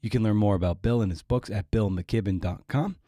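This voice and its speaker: A man's voice: fairly low pitch, fairly quick, mellow, no room sound, clean studio sound. Irreverent, jokey male podcast host. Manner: irreverent, jokey, self-mocking. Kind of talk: casual podcast intro monologue.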